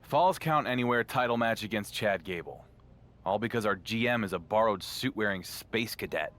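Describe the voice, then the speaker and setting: Dry, sarcastic champion wrestler. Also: male voice, fairly low pitch, lively intonation, moderate pace, fairly bright tone. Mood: dry exasperation. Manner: dry, sardonic retorts, defensive under accusation.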